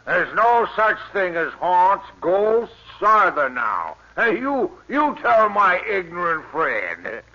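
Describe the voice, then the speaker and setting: An actor playing a cocky, mocking country skeptic with a rural American accent in a 1950s radio drama. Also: male voice, high voice, very animated, takes it slowly, few pauses, sharp tone, heavily acted. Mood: eager, badgering. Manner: jeering, badgering. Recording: muffled old radio recording.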